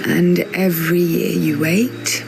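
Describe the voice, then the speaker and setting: An actress playing a guarded, wry young teacher with quiet melancholy, in a measured, naturalistic video game cutscene style. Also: female voice, fairly low pitch, level tone, creaky. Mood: sober, reflective. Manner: dry, teasing, measured. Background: music.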